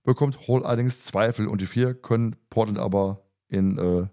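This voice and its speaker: A man's voice: on the low side, normal speed, mellow. A man hosting a podcast, chatting casually and retelling a story in an informal, self-interrupting way. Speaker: podcast host. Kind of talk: casual podcast chat, retelling an audio drama episode.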